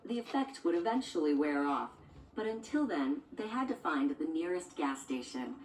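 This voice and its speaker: A woman's voice: deep voice, lively intonation, normal speed, a little hiss or hum. A woman reading a scripted children's storybook as narrator. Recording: echoey, distant, music behind.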